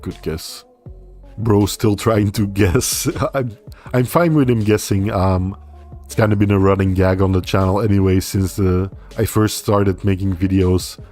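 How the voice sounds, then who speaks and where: Relaxed low-voiced man, Dutch native. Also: unhurried, faint music behind. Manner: relaxed, rambling, reads viewer comments aloud and answers. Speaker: livestream host. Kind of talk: casual livestream chat reading comments.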